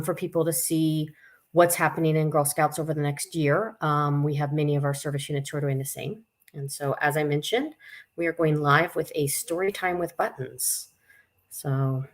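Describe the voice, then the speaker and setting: Woman, low voice, children's read-aloud, informal chatty asides, story time host. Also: a bit bright.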